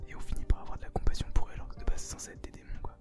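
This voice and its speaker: Whispering young ASMR reviewer over music. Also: very fast. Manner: informal youth slang. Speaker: co-host of an anime review. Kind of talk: whispered ASMR anime review, casual chat.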